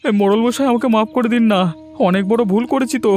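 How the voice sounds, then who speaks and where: A man's voice: very high voice, fast, darkish tone. A man playing a scheming, cowardly village brother-in-law in a cartoon moral story, whiny and agitated. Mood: contrite begging.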